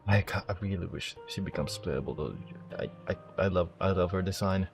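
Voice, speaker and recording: A man's voice: sits low, a little fast. A man giving casual playthrough commentary as a game streamer, talking in a hesitant, rambling way. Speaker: gaming streamer. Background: game music and dialogue.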